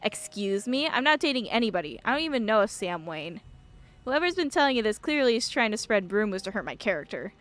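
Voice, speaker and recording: A woman's voice: medium pitch, big pitch swings, fairly quick, a bit bright, faint music behind. A woman voicing an irritable, scornful female boss of a mine in a video game, scolding and dismissive. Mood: defensive, curt.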